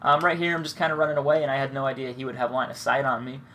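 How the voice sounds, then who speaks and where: A young man talks through his match in a relaxed, rambling way, dropping curses as he goes. His nose is blocked from a cold, giving him a congested, sniffly sound. His pitch sits in an ordinary middle range, with a faint hiss of background noise. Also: very fast. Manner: rambling, laid-back, swears freely. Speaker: gaming commentator. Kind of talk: casual commentary over gameplay.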